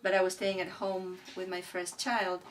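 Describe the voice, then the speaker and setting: Woman, Spanish speaker, halting and reflective, somewhat far from the mic, faint background noise. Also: lowish voice, little pitch movement. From Argentina. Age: middle-aged. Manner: thoughtful, halting, searching for words. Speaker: artist being interviewed. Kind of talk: reflective interview talk about own work. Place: indoors beside a displayed print.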